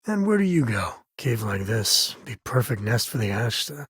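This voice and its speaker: An actor voicing a wry, easygoing space ranger and father in a video game, with a husky, raspy voice and a folksy drawl. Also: mid-range voice, very animated, normal speed, fairly bright tone, no room sound, clean studio sound, full character voice. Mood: matter-of-fact. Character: laid-back frontier space ranger, doting father, wry and plainspoken. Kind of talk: video game character dialogue.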